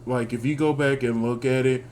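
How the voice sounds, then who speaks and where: Texan male co-host, natural Southern accent, relaxed and chuckly, mid-pitched voice, faint hum in the background. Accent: Texas Southern. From Texas. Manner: laid-back, chuckling, drawn-out reactions. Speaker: podcast co-host. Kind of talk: casual review chat.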